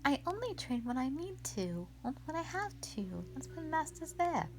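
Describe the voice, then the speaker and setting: A woman's voice: high voice, very animated, moderate pace, a little hiss or hum, pitched above the performer's own voice. A woman voicing a playful, teasing young female soldier, a bubbly and informal best friend in an audio drama. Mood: whiny. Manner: playful, informal, whiny when tired. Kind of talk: dramatic audio roleplay acting.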